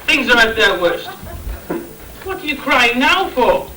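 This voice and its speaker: Scolding pantomime dad, acted by a man, piercingly high and bright, theatrical rhyming delivery, amateur stage. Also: big pitch swings, measured pace, a little hiss or hum, heavily acted. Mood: exasperated. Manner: theatrical, declaiming in rhyme. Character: exasperated, scolding father of a bubble-obsessed boy. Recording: distant, echoey amateur stage recording.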